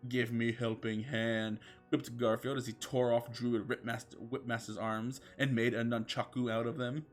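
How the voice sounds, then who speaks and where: A man's voice: mid-range voice, little pitch movement, moderate pace, soft background music. A man reading fan fiction aloud as a comic dramatic narrator, mock-serious and emphatic.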